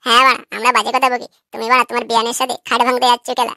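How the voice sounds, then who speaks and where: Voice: medium pitch, little pitch movement, rapid-fire, vocal fry, sharp tone, dry recording, clean studio sound. A performer voicing a crude, cocky young neighborhood prankster in a cartoon, always teasing.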